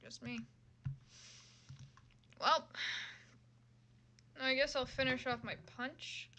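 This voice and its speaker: A woman with a bright, fairly high voice talks casually on a livestream. She swears freely and exclaims at what she reads, often poking fun at herself. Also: steady tone, slow pace. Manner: sweary, exclaiming, self-deprecating. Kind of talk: casual livestream chat, reacting to fan fiction.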